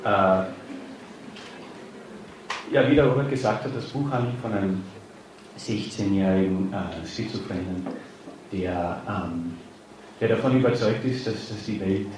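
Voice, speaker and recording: Man, low voice, hesitant, many fillers, distant mic, very echoey hall. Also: measured pace, pauses often, noisy background. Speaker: author giving a reading. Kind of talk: informal introduction before a reading. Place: reading hall before an audience.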